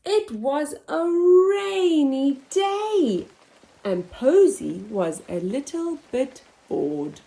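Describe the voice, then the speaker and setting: A woman hosting a children's story time, reading aloud in an animated, expressive, theatrical way for very young listeners. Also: high-pitched, extremely slow, creaky. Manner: expressive, theatrical, with gasps and whispers. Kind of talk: animated read-aloud for toddlers.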